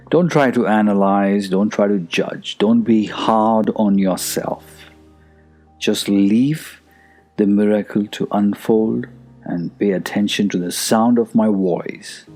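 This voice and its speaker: A man leading a guided meditation in a soothing, unhurried manner that is hushed at times. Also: fairly low pitch. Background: soft music.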